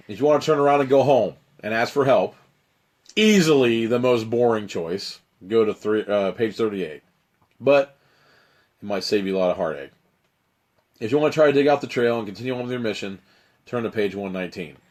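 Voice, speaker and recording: A man with a raspy, strained voice, hosting a live stream where he reads an adventure story aloud and chats with viewers. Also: medium pitch. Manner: dramatic narration, then loose rambling chat. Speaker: host reading a choose-your-own-adventure book.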